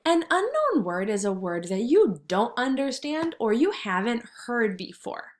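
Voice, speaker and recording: A woman's voice: fairly high pitch, sing-song, a little slow. A woman teaching kindergarten through a read-aloud, instructing and thinking aloud in simple words for young children.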